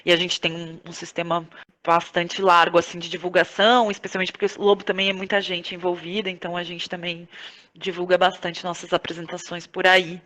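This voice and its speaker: Brazilian Portuguese woman, slightly low voice, podcast guest, informal, slangy, effusive conversation. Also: flat delivery, brisk, a bit bright, poor audio. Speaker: podcast guest, playwright, actress and director. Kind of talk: casual interview conversation.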